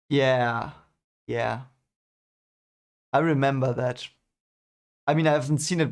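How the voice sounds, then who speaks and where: Male stream host, medium pitch, unhurried casual commentary, repeats himself and is easily amused. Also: creaky. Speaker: livestream host. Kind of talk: casual reaction commentary on own old video.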